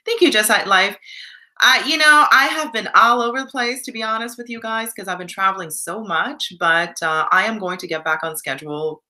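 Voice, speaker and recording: A woman's voice: medium pitch, normal speed, sharp tone. A young Canadian woman in casual live stream chat, reflective and encouraging, reading viewer comments aloud.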